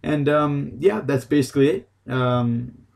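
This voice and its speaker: A man's voice: medium pitch, a little slow, creaky, darkish tone. A man giving a casual, unscripted game tutorial, explaining things in a loose, hesitant way. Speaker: gaming tutorial host.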